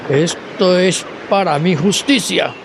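A bitter pirate sailor, with a very high voice for a man. He sounds heated and scornful, in theatrical radio play style, over faint noise. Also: slow, poor audio. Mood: vengeful anger. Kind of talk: theatrical radio drama acting.

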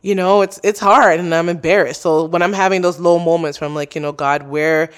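A woman's voice: fairly low pitch, fairly even intonation, fairly quick, clear, resonant tone. A young adult woman, a podcast host being interviewed, talking casually and openly with lots of "like" and "you know.". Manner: chatty, many "like" and "you know" fillers.

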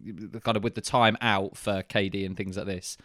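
A man's voice: fairly low pitch, fairly even intonation, normal speed, fairly bright tone, no echo. Brief: Southern English male podcast co-host, rambling and chatty, dry video-call sound. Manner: chatty, rambling with many "uh" fillers, laughs easily. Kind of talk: casual sports podcast chat.

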